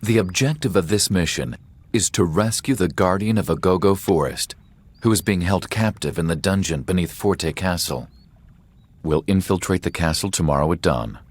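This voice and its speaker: A man's voice: low voice, expressive, normal speed. A man voicing a stern, commanding rebel leader in a video game, serious and authoritative.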